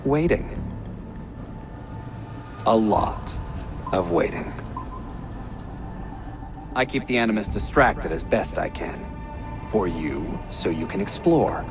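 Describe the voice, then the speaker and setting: An actor playing a weary, cryptic guide in a video game, terse and laconic with a gravelly voice. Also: male voice, lowish voice, sing-song, slow, long pauses, music in the background, full character voice. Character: cryptic, weary male guide trapped in a computer. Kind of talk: low-key video game dialogue.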